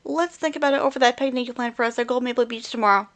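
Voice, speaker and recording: A woman's voice: on the high side, fairly even intonation, very fast, fairly bright tone. A woman voicing a caring, level-headed young animal adventurer in an audio drama. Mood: concerned.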